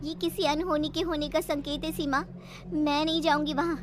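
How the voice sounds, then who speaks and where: A woman's voice: high voice, level tone, moderate pace, noisy background, pitched above the performer's own voice, natural voice. A woman voicing a timid, superstitious young woman, fretful and whining, in a horror story. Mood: reluctant, worried. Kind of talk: animated horror story character acting.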